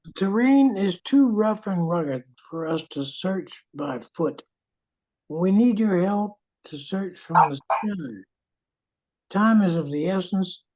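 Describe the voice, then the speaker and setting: A woman playing a serious, steady park ranger in a radio play, speaking in a measured way. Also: mid-range voice, low-quality recording. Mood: grave. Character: grave, steady park ranger seeking help. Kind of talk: old-time radio play acting.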